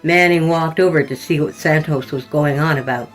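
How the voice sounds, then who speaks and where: A woman with a low voice narrates scripted weird fiction as a podcast host. She tells it deliberately and descriptively. Faint music sits behind her reading. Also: rough recording. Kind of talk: scripted horror storytelling.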